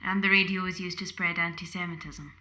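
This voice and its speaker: An actress playing a bold, teasing young poet in an audio play, with a wry, direct manner. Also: female voice, fairly low pitch, fairly even intonation, fairly quick, bright, forceful tone. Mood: challenging.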